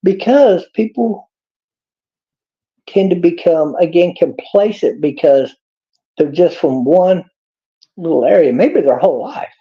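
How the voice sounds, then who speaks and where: A man's voice: a little high, lively intonation, measured pace, pauses often. A man with a Texas accent giving a rambling, preachy monologue in a folksy drawl. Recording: dull lo-fi clip.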